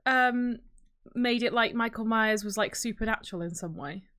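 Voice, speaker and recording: A woman co-hosting a podcast, chatting informally at a middle pitch. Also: normal speed, fairly bright tone. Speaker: podcast co-host. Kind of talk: casual pop culture chat.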